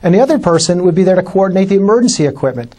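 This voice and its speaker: A man's voice: high voice, lively intonation, racing, warm tone, slightly rough. A man explaining things informally in an interview as an experienced expert. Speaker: former air traffic controller, interviewee.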